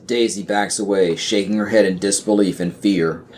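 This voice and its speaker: A man's voice: low voice, fairly even intonation, moderate pace, darkish tone. A man narrating an audio play, reading out screenplay scene headings and stage directions.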